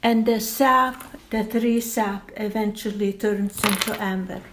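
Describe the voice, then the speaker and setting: An older woman from Lithuania, a native Lithuanian speaker, explaining patiently in a slow, deliberate way during an oral history interview. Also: medium pitch, steady tone, a bit bright.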